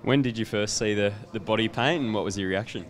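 A man works as the club interviewer, firing off quick, brisk questions. He holds a handheld mic out to the player. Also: fairly low pitch. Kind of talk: post-match interview questions. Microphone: handheld microphone.

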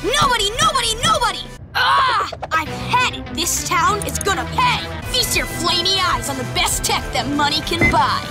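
Spoiled rich brat, very high voice, bouncy swooping pitch, loud music behind. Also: female voice, big pitch swings, normal speed. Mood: bratty. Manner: whiny, entitled, shouting tantrums. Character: spoiled rich bratty girl, greedy and demanding. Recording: cartoon clip with loud music.

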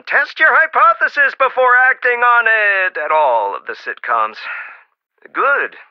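An actor playing a dry-witted archaeologist in a video game, talking in a wry, encouraging way. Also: male voice, mid-range voice, no room sound. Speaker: archaeologist on the phone to the player. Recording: dull lo-fi game audio, dry.

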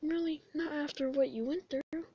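A woman voicing an earnest, caring, excitable male skeleton in an amateur comic dub, dramatic and formally phrased. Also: sits high, moderate pace. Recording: dull, echoey room recording.

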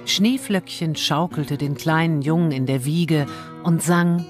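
A woman's voice: deep voice, a little slow, music in the background. A woman narrating a fairy tale from a script as a storyteller.

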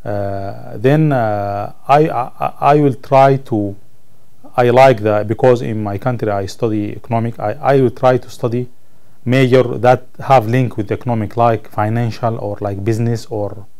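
A man in his thirties from Sudan, a native Arabic speaker with a Sudanese Arabic accent, answering interview questions as a student in halting English, searching for words. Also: mid-range voice, steady tone, creaky, a little mellow. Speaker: college ESL student being interviewed. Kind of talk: interview answers about own life. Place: indoor room, seated on camera.

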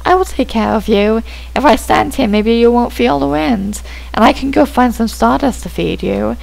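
A man's voice: extremely high pitch, moderate pace, gravelly, heavily acted, poor audio. A man reading the lines of a kind, earnest little robot in a video game in his own plain voice.

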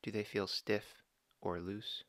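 Gravelly low-voiced man guiding a meditation. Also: no echo. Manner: slow, calm instructions with long pauses. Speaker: meditation guide. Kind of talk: guided body scan meditation.

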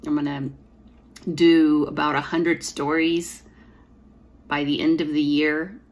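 A woman's voice: low-pitched, little pitch movement, slow. A woman in her forties with a slight lisp, reflectively telling a personal story to camera from home. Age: 40s. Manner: reflective, earnest, with fillers and restarts. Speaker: poet and author. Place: small room at home, indoors. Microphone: device camera at close framing.

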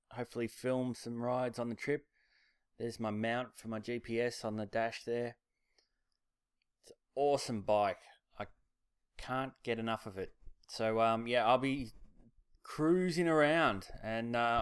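A man's voice: mid-range voice, steady tone, a little slow, long pauses, smooth, no echo, studio quality. A man with an Australian accent, casually talking a camera through his motorcycle and a planned road trip, rambling with plenty of ums and uhs. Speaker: motorcycle vlogger. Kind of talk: casual vlog narration.

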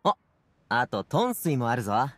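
Lively, easygoing young game character. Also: male voice, medium pitch, moderate pace, no room sound. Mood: friendly, generous. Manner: casual youthful masculine speech. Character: easygoing, headstrong young man, an aspiring stage performer. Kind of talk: anime game voice acting.

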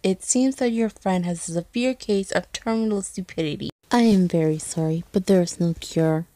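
A woman voicing a dry, unimpressed schoolboy playing doctor, deadpan, in an amateur cartoon dub. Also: fairly low pitch, moderate pace, dry recording, voice pushed down, natural voice.

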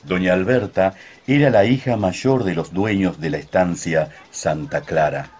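A man's voice: sits low, unhurried, husky. A man narrating a radio soap opera from a script. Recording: loud music under narration.

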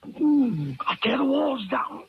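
An actor playing a desperate old villain gasping for air in a 1940s radio melodrama. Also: male voice, very high-pitched, sing-song, takes it slowly, full character voice. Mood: frantic, panicked. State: gasping for breath. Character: trapped, suffocating old villain, frantic and desperate. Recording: dull noisy 1940s radio recording.

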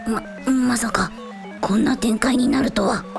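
A woman's voice: fairly high pitch, unhurried, gravelly, music in the background. A woman playing a small chubby dragon in child form in a radio drama, talking in a pompous, archaic way that slips into timid pleading. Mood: despairing. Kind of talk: Japanese radio drama acting.

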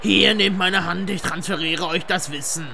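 A man voicing a wise, helpful older male yeti mentor in a cartoon fan dub, gruff and instructive. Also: high-pitched, steady tone, moderate pace, sharp tone, slight background noise, exaggerated voice. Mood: earnest.

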